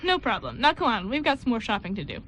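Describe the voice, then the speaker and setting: A woman's voice: a little high, wildly swooping pitch, fast. A woman playing an upbeat, reassuring bride-to-be in an amateur radio drama, chatty and encouraging. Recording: faint background noise and room ambience.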